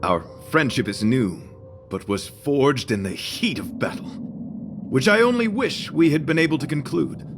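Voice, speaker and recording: A man's voice: mid-range voice, lively intonation, moderate pace, natural voice. A man playing an earnest, courtly knight devoted to beauty in an English game dub, speaking in a formal, florid, theatrical and solemn way. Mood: courteous, sincere. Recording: music behind.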